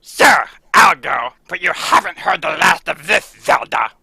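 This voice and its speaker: A man acting out a jealous has-been circus performer in a 1940s-style radio play, shouting with spite. The voice is bright and gravelly, with lively swings in pitch. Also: a little slow, no echo, full character voice. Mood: spiteful. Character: jealous fading female circus cat trainer.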